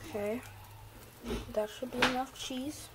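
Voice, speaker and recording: A woman's voice: high-pitched, steady tone, a little slow. A teenage girl giving a casual, hesitant cooking demo in a home kitchen, filmed on a phone. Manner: chatty, hesitant with fillers. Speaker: guest cook. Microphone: handheld phone camera. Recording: noisy phone recording.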